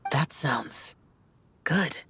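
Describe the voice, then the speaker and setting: A woman voicing a stoic, youthful male immortal warrior in a video game, husky, solemn and measured, with formal phrasing. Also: low voice, lively intonation, fairly bright tone, slight background noise, heavily acted. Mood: reserved, polite.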